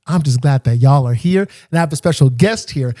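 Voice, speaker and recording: A man's voice: medium pitch, fairly quick, warm tone. A man hosting a podcast in casual chat, upbeat, laughing often and swearing freely. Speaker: podcast host. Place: Los Angeles.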